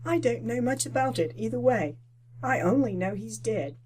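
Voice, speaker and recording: A woman acts an indifferent, self-serving gossip in an old radio play. The voice is husky and fairly high, with lively swings in pitch. The delivery is offhand. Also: moderate pace, poor audio. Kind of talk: old-time radio drama acting.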